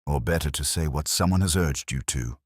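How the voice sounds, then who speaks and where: A man voicing a shrewd, sceptical police inspector in a mystery story, measured and probing. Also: very deep voice, no echo, studio quality. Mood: probing scepticism.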